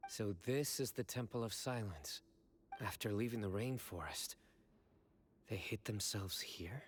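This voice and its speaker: A man playing a stern, reserved and watchful authority figure in an anime-style video game, speaking in a deep, measured, serious way. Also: a little hiss or hum. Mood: pensive.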